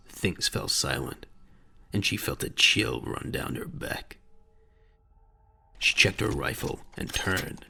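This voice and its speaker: A man with a gravelly voice narrating a dramatic audio drama in a grave, measured storytelling manner. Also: bright, forceful tone. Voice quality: very deep, gravelly. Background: faint music.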